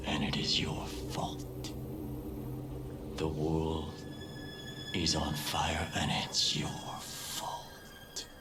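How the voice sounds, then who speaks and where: An actor playing a fanatical cult leader in a video game, preaching with solemn, deliberate menace in a breathy, often hushed voice. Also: male voice, a bass voice, reverberant, noisy background. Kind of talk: dramatic sermon-like game acting.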